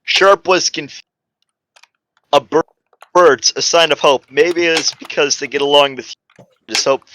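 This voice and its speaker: Bright-voiced man reading a story aloud. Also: on the high side, steady tone, unhurried, a little hiss or hum. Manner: informal, stumbling over the text. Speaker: narrator. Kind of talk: amateur dramatic reading of a comic story.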